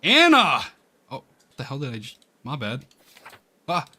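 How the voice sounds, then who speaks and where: A man hosting a gaming video, giving casual let's-play commentary in a rambling, halting way and often interrupting himself. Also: mid-range voice, huge pitch swings, sharp tone, husky. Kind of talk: casual let's-play commentary, reading game text aloud.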